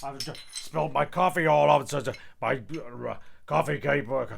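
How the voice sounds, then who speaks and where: A man's voice: fairly high pitch, a little fast, vocal fry. A man playing a grumpy, touchy, rum-drinking narrator who gripes and swears in a comedy radio play. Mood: grumbling. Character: grumpy rum-drinking narrator, shanty-singing old salt. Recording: music playing behind the voice.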